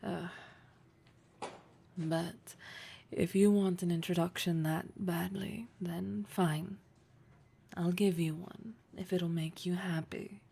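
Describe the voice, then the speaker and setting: A woman playing a poised, teasing, wealthy female boss in an intimate, breathy audio roleplay, speaking with deliberate, flirtatious formality. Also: fairly low pitch, fairly even intonation, mellow, full character voice. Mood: teasing, challenging. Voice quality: breathy, husky.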